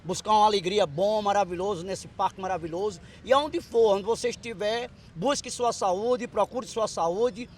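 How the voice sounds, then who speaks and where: A man's voice: high voice, moderate pace, hardly any pauses, a little hiss or hum. A man in his sixties interviewed outdoors in a park, talking with enthusiasm and repeating praise. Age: 60s.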